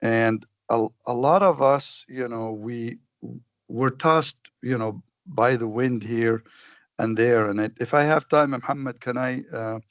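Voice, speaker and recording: A man speaking on a webinar panel, talking haltingly with many fillers and restarts. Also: mid-range voice, dry recording, muffled recording. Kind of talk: unscripted remarks on a webinar. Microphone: computer mic on a video call.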